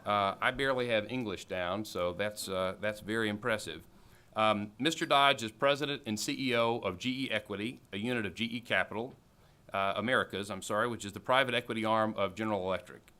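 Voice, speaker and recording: A man's voice: fairly low pitch, a little fast, bright, forceful tone, clean tone. A man in his forties at a podium giving a formal introduction of a guest speaker in a large auditorium, reading from notes with frequent fillers. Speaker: alumni board member introducing speaker. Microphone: podium microphone.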